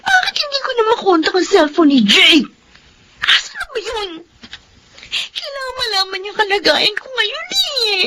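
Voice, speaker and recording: Casting: frustrated teen sister, extremely high swooping voice, whiny, radio drama, noisy recording. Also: female voice, big pitch swings, normal speed. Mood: frustrated, tearful. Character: sulky, frustrated teenage younger sister, a student keeping a secret. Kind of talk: Filipino radio drama acting.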